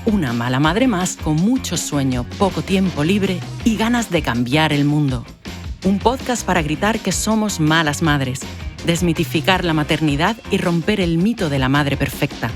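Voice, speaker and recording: Low-voiced woman reading a show intro. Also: animated, normal speed. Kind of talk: scripted narration. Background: music.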